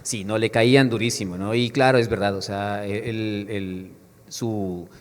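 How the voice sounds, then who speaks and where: A man's voice: lowish voice, fairly even intonation, measured pace. A man moderating a book fair panel, speaking hesitantly with many fillers and restarts. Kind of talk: panel discussion. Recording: echoey hall sound.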